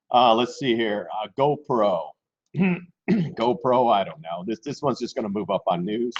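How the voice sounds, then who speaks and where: A man hosting a live stock trading stream in casual chat, hoarse and losing his voice, clearing his throat often. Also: on the low side, animated, measured pace. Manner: keeps clearing his throat, chatty and informal. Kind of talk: casual live market commentary. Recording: dull lo-fi stream audio.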